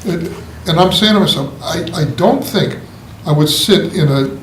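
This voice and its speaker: Man, blunt, opinionated, fairly high voice, roomy room, faint noise. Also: normal speed, fairly bright tone. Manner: blunt and opinionated, laughing at his own remarks. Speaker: writing group member. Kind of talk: casual group critique.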